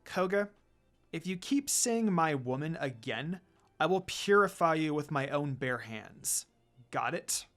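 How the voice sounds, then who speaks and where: A reader as firm, outspoken young heroine, very expressive. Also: mid-range voice, a little slow, soft background music. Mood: threatening. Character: firm, outspoken young woman, kind but easily exasperated.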